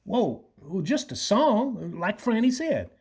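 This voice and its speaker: A laid-back slacker handyman acted by a man in a radio play. His voice is husky and swings widely in pitch. He talks in friendly, slangy dude speech. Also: very animated, takes it slowly, a little mellow, exaggerated voice. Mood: mellow, friendly.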